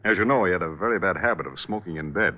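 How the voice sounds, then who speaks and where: An actor playing a polite, slightly hesitant lodge owner in an old-time radio drama. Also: male voice, deep voice, rapid-fire. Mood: guarded, affable. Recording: dull vintage radio broadcast recording.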